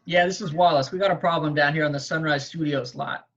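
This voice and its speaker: A man playing a wisecracking, gruff police detective in a horror script read at home. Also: fairly high pitch, fast talker, a bit bright. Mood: businesslike. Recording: dull home video-call audio.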